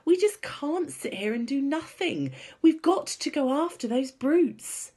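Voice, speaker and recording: A woman's voice: mid-range voice, huge pitch swings, measured pace, husky, pitched above the performer's own voice, heavily acted. A woman voicing a young girl, a determined and impassioned storybook heroine who speaks emphatically. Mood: desperate, pleading. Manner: emphatic, exclamatory.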